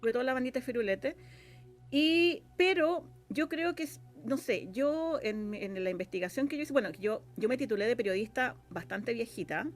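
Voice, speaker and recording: Chilean lady guest, kinda high voice, chatty, restarts sentences a lot, bit of music. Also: expressive. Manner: halting, rephrasing often, seeking agreement. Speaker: guest, radio drama scriptwriter. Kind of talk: casual interview talk.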